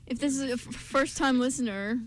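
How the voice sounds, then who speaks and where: High-voiced young American woman hosting. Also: a little fast, slight background noise. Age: young adult. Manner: chatty, informal, jokey. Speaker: radio show host. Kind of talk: casual group banter. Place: college radio studio. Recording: faint studio buzz.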